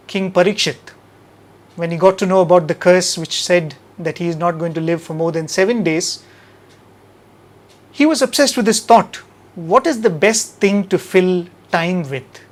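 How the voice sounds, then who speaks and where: A man with an Indian accent hosting a devotional satsang, speaking in a measured, emphatic way with rhetorical questions and repeated phrases. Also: sits high. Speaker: host of a devotional radio satsang. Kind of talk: spoken devotional talk to an audience.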